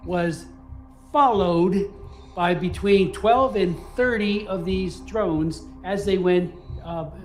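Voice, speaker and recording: High-voiced man reporting slowly. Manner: deliberate, a little halting. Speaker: news reporter. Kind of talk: news report.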